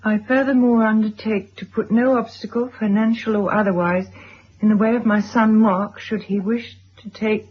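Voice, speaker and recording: An actress as a husky, genteel lady. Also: medium pitch, steady tone, moderate pace, lightly acted. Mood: formal reading. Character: composed, well-bred titled wife and mother, quietly firm. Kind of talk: radio drama acting. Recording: dull old radio recording.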